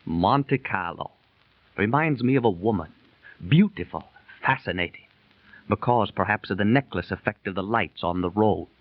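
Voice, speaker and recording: An actor playing a brash, eager gambler in a 1930s radio drama. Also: male voice, fairly low pitch, normal speed, no echo. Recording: muffled 1930s radio transcription.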